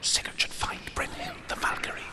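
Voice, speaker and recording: An actor playing a solemn storyteller in a video game, telling Norse legends in a hushed, breathy, measured way. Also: male voice, in a whisper, natural voice. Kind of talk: hushed myth narration.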